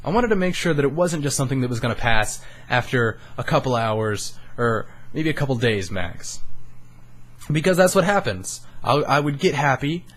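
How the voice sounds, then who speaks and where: A man's voice: medium pitch, lively intonation, normal speed, fairly bright tone. A young man of eighteen, a YouTuber, talking earnestly and rambling a bit on a studio microphone, swearing casually and sounding emotionally raw. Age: young adult. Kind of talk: candid personal vlog.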